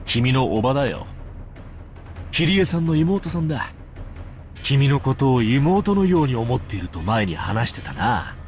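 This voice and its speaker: A man's voice: medium pitch, very animated, brisk, darkish tone, soft background music, natural voice. An actor playing a glib, shrewd businessman in a visual novel, casual and unflappable as he talks. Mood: wry, casual. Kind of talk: Japanese visual novel voice acting.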